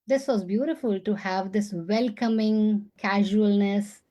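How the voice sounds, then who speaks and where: A woman's voice: mid-range voice, fairly even intonation, unhurried, dark tone, lo-fi sound. A woman from India, a podcast guest, telling her story in earnest, casual interview talk.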